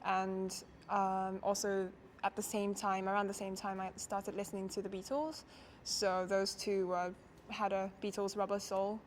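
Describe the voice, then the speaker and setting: A woman's voice: mid-range voice, slight background noise. A young woman from Japan, a violinist, answering interview questions in a thoughtful, casual way with frequent fillers and light laughs. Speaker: musician interviewee.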